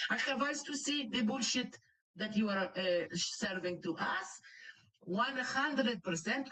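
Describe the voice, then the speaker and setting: A woman's voice: medium pitch, fairly bright tone, far from the mic, poor audio. A Greek woman hosting a reaction commentary, speaking in blunt, broken English and lecturing with indignation. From Greece. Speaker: commentary channel host.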